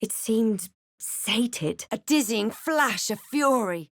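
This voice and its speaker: A woman playing a snarky, brave adventurer spellcaster in a fantasy video game, speaking in a theatrical, wry and deliberate way. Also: a little high, lively intonation, dry recording, studio quality, heavily acted. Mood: brooding, dramatic. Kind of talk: video game voice lines, dramatic narration and spell chants.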